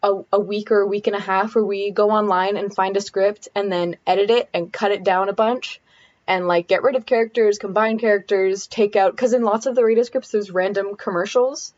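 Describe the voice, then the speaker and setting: A teenage girl answering as a student guest, eager and giggly, with lots of "like" and "um." Her voice has an ordinary pitch. The recording sounds a little dull and lo-fi. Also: fairly even intonation, a little fast, darkish tone. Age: teens. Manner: eager, filler-heavy, giggly. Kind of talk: interview answers.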